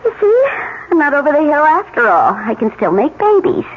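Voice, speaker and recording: A woman's voice: sits high, sing-song, a little fast. An American woman acting a weary, fretful housewife and mother in her forties in a 1970s radio drama, plaintive and hesitant. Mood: bitter, resigned. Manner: plaintive, self-pitying, hesitant. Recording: muffled, noisy 1970s radio recording.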